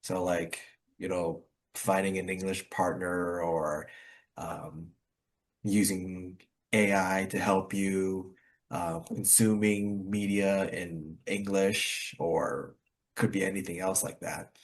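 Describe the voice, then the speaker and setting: Very deep-voiced male call host. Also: flat delivery, slow. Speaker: host of an online English practice meeting. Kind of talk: casual group discussion. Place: online group call.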